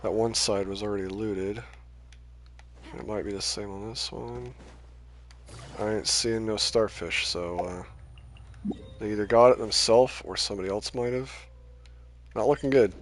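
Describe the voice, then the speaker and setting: Guy with a lowish voice rambling thru a game stream, voice kinda wearing out. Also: a little slow, long pauses, a little hiss or hum. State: voice starting to tire and give out. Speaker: game livestreamer. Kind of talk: casual rambling gameplay commentary.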